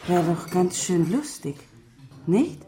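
A woman's voice: lowish voice, big pitch swings, slow pace, mellow. An actress playing a dreamy, whimsical woman in a rhymed radio play, murmuring wistfully.

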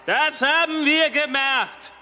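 A male actor playing a defiant fugitive in space, yelling back under siege, in a comic science-fiction radio drama. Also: very high voice, slow pace, exaggerated voice. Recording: echoing hall, loud music behind.

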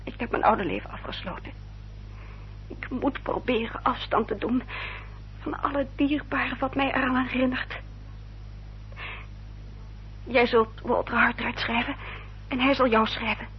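An actress playing a sorrowful, resigned young gentlewoman, speaking formally and earnestly in a radio play. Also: fairly high pitch, fairly even intonation, measured pace, long pauses, close to an everyday voice. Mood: sad resignation. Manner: formal, earnest, self-sacrificing. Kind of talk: Dutch radio play acting. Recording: dull, noisy old radio recording.

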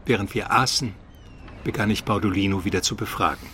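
An actor playing a learned Byzantine chronicler, narrating a radio drama in a measured, precise literary manner. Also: male voice, on the low side, a bit bright, a little hiss or hum, lightly acted. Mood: savouring, calm.

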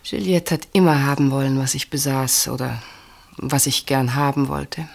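A woman's voice: a bass voice, fairly even intonation, moderate pace, vocal fry, a little mellow, husky, full character voice. An actress playing a guarded, troubled woman in a German radio play, speaking in a hesitant, evasive dramatic manner. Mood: subdued, bitter.

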